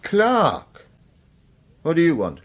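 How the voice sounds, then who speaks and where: An actor playing a cynical retired British secret service killer in a radio thriller, with a dry, blunt, sardonic delivery. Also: male voice, fairly high pitch, huge pitch swings, slow, heavily acted. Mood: wary, curt. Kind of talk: radio thriller acting with first-person narration.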